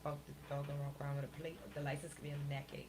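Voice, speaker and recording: A woman's voice: low voice, flat delivery, very fast. A woman with a Bahamian accent co-hosting a radio show, moving between casual, blunt banter and reading local news. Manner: blunt, colloquial. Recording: clearly noisy radio show audio.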